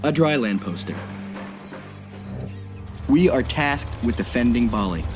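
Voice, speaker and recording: A man's voice: mid-range voice, expressive, measured pace, music in the background, close to an everyday voice. A man voicing a grave navy commander. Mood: resolute. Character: seasoned male naval commander, dry and resolute. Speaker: naval officer narrating. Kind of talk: scripted game narration.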